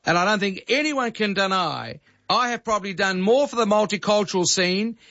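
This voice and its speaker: A high-pitched man rants bluntly on talkback radio, with heated indignation in every line. His voice comes through a dull, lo-fi archival radio recording. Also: expressive, normal speed, sharp tone. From Greece. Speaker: radio host.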